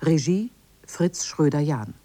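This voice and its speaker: A radio announcer reads a scripted introduction formally. The voice is dark and soft-edged, recorded very dry. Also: medium pitch, lively intonation, slow, dry recording.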